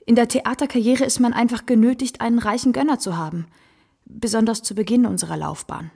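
An actress playing a worldly, self-possessed kept woman of the theatre in a radio play, courteous and theatrical, with a husky, gravelly voice. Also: on the high side, fairly quick, a little mellow. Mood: contrite, courteous. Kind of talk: German radio play acting.

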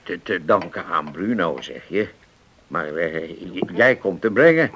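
A man's voice: sits low, expressive, unhurried, fairly bright tone, highs cut off, a little hiss or hum. An actor playing a genial older retired seaman in a radio drama, musing and repeating his words. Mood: musing, contented. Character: genial old retired seafarer, contented and reminiscing.

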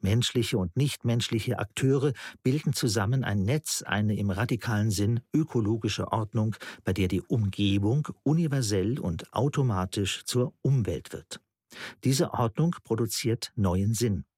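A man's voice: on the low side, measured pace, a little mellow, breathy edge, dry recording, studio quality. An actor playing an earnest male theorist who lectures precisely and didactically in a German radio play. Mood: earnest, didactic.

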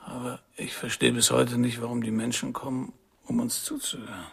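An actor playing a weary, resigned old jazz musician in a radio drama, with a raspy, breathy half-whisper. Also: male voice, medium pitch, level tone, normal speed, full character voice. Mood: weary, musing. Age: older. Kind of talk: German radio drama acting.

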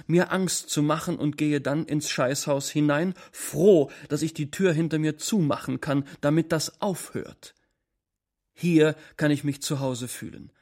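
A man reading a first-person short story dramatically, with deliberate phrasing and shifts in volume. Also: a little high, darkish tone.

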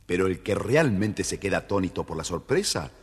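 A man narrating a scripted children's story in an expressive, theatrical way, with a husky voice. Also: deep voice, brisk. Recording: hall-like reverb, faint music behind.

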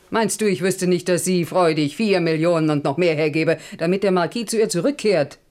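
Fawning greedy mother, acted by a woman, middle-aged, excitable, wheedling, scheming, period radio play. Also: on the low side, moderate pace, natural voice. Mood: greedy, astonished. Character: greedy, servile scheming mother of a kept daughter. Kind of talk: 1970s German radio play acting.

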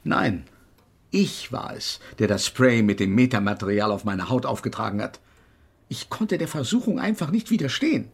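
An actor playing an invisible male physics professor in a German radio drama, haughty and exasperated, lecturing with pedantic precision in a husky voice. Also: medium pitch, very animated, normal speed, exaggerated voice.

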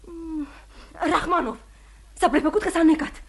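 A high-pitched young woman in an old radio drama, fiery and devoted to the man she loves. She speaks impulsively and insistently, doubling her words with stubborn will. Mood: excited scheming. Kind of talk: old radio theatre acting.

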